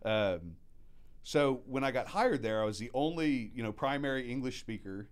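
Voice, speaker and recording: A man's voice: mid-range voice, normal speed, smooth. A man in his thirties, born in Mexico to American parents, chatting casually and acting out quotes as he tells stories about running restaurants. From born in Mexico, raised by American parents. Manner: animated storyteller acting out quotes. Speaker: guest, restaurant manager. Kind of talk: casual storytelling chat.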